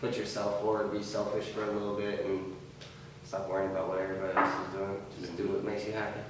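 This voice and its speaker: Thoughtful low-voiced man, echoey. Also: monotone, quick pace. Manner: thoughtful, explanatory. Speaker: podcast co-host. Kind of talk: casual group discussion. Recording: echoey room, distant mic, some noise.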